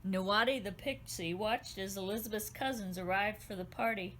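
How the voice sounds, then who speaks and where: A woman reads her own children's book aloud, gentle and expressive. Her voice sits at an everyday middle pitch, unhurried storytelling. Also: slight background noise, rough recording. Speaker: author reading her book.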